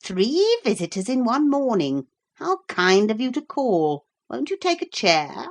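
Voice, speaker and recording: A woman's voice: fairly high pitch, huge pitch swings, a little slow, pitched above the performer's own voice, exaggerated voice. A woman reading aloud, voicing a greedy, pompous male magician who turns cross.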